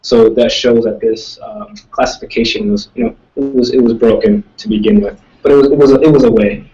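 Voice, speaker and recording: A man's voice: medium pitch, lively intonation, a little fast, dark tone, far from the mic, muffled recording. A young man, a student, answering audience questions in casual talk, thoughtful and rambling, with many restarts. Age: young adult. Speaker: student speaker.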